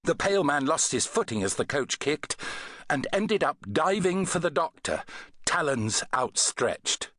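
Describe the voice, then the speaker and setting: A man reading an adventure novel as an audiobook narrator, in an expressive, dramatic storytelling manner. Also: on the high side, measured pace, dry recording, clean studio sound.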